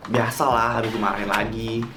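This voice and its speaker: Someone acting a young jakarta office girl, flustered n fed up, colloquial, office drama, loud music behind. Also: medium pitch, flat delivery, a little fast, lightly acted. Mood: exasperated, resentful. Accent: Jakarta Indonesian. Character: young female secretary, flustered, deferential, resentful of her boss. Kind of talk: scripted short office drama dialogue. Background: music and cooking sounds.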